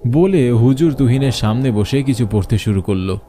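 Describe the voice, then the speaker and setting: A man's voice: medium pitch, animated, moderate pace, warm tone, soft background music. A man narrating a scripted horror story for a cartoon.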